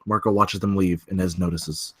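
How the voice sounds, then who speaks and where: A man's voice: deep voice, fairly even intonation, fairly quick, fairly bright tone, breathy edge. A man reading scene directions as the narrator of a live screenplay table read.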